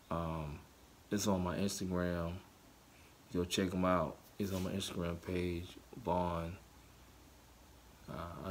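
A man in his forties talking loosely to camera in a casual vlog from his living room, full of fillers. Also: deep voice. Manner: loose and rambling, many fillers. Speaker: vlogger. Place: living room with couch, indoors.

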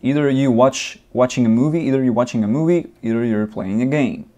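A man's voice: mid-range voice, fairly quick, warm tone. A young man, not a native English speaker, ranting in a casual gaming vlog with lots of restarts and fillers, recorded on a webcam in a small room. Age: young adult. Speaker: gaming vlogger. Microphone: webcam at desk, close framing.

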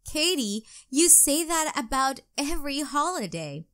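A woman voicing a little girl in a children's storybook, in an expressive, childlike way. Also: sits high, unhurried, voice pushed up, heavily acted. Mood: amused.

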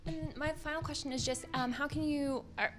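Upbeat fast-talking woman interviewer. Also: high voice, fairly even intonation, fairly bright tone. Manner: upbeat, eager, scripted questions. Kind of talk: press-event interview questions. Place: event press wall, indoors. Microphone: handheld mic.

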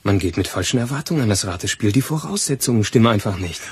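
An actor playing an eager, loyal police assistant in a crime radio play, arguing colloquially. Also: male voice, lowish voice, animated, fast, darkish tone, breathy edge. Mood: eager, deducing. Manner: colloquial, argumentative.

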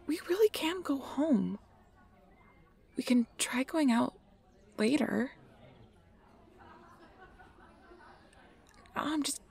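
Creaky, doting fey sweetheart, acted by a woman. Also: high-pitched, darkish tone, exaggerated voice. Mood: worried, evasive. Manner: intimate, doting, often breathy whispering. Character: obsessive, sweet-talking fey woman posing as a devoted lover. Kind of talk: ASMR roleplay, close personal attention.

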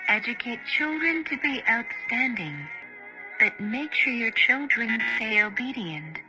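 A woman delivering scripted infomercial narration in a measured, formal sales manner. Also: fairly high pitch, sing-song, poor audio. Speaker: advertisement presenter. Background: music.

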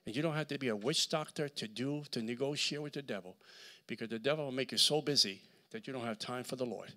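A middle-aged man with a Puerto Rican accent preaching a sermon with a handheld mic in a large church hall, swinging between shouts and near-whispers. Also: medium pitch, quick pace. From Puerto Rico. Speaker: guest preacher.

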